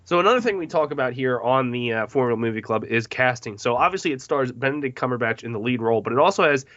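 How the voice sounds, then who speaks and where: A man's voice: mid-range voice, expressive, fast talker, fairly bright tone, dry recording, highs cut off. A man hosting a casual film discussion, partly reading from notes in a jokey, self-mocking way. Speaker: podcast host.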